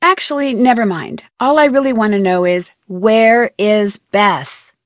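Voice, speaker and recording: A woman's voice: mid-range voice, unhurried, no room sound, clean studio sound, close to an everyday voice. A woman playing an inquisitive, persistent young amateur detective in a video game, speaking in a polite, earnest way. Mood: pressing, suspicious. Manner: polite, earnest, crisply questioning. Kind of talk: video game dialogue voice acting.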